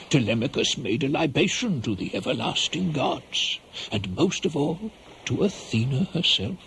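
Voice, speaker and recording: A man's voice: medium pitch, vocal fry, a bit bright, slight background noise. A man narrating a scripted radio play as a dramatic, theatrical storyteller.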